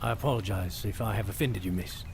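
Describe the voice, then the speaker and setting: A man's voice: on the low side, quick pace, vocal fry, a little hiss or hum. A young man playing an earnest, courteous royal knight in a fantasy audio drama, speaking formally. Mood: insistent. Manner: formal, polite, stately.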